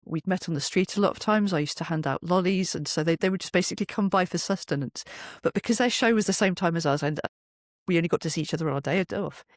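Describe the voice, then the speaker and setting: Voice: mid-range voice, fast talker, no room sound. Middle-aged interview guest, wry and dry-recorded. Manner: wry, self-deprecating. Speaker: guest, voice actor and performer. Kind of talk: conversational interview, anecdotal storytelling.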